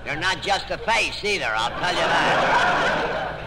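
A man's voice: a little high, lively intonation, slow. A man playing a sarcastic, long-suffering quizmaster in an old-time radio comedy quiz, sardonic and exasperated. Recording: noisy old radio broadcast, echoey hall.

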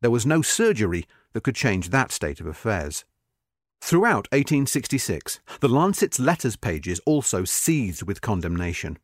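Expressive male narrator, dry studio sound. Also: lowish voice, dry recording. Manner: measured, expressive, slows at sentence ends. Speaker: narrator reading a magazine article. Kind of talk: scripted narration.

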